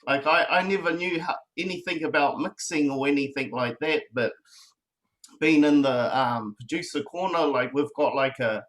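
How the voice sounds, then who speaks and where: A man in his thirties with a New Zealand accent, chatting casually as a guest about making beats, relaxed and rambling with many fillers. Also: on the high side, normal speed, poor audio. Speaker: beatmaker guest. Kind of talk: casual online chat.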